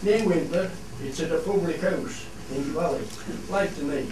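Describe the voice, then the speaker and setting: A man's voice: on the high side, moderate pace, close to an everyday voice. A man playing a plain-spoken Cumbrian fell shepherd who explains things in a radio play, recorded in an echoey room. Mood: explanatory. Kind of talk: scripted radio play monologue in dialect. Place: theatre studio. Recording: echoey hall, distant mic, clear noise.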